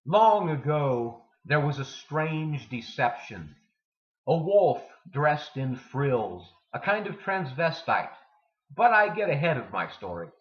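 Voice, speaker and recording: A man giving a dramatic poetry reading with deliberate, theatrical delivery and emphatic pauses. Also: fairly high pitch, big pitch swings. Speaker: poetry reader. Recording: dull lo-fi sound in echoey room.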